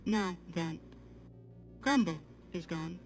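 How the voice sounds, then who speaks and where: Earnest female AI streamer, acted by a woman, halting formal delivery at a very unhurried pace, soft music underneath. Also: fairly low pitch, expressive. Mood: polite, wistful. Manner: measured, halting, formal, avoiding contractions. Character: polite artificial-intelligence female assistant, apologetic and gently earnest. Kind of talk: slow guided talk to a live chat.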